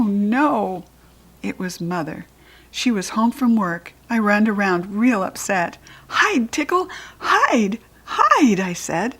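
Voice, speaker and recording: An American woman reads a children's chapter book aloud in a voice of ordinary pitch for a woman. She leaps up into an excited, high-pitched voice for the child's quoted lines. She sinks to soft, breathy murmurs for the quiet asides. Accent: General American.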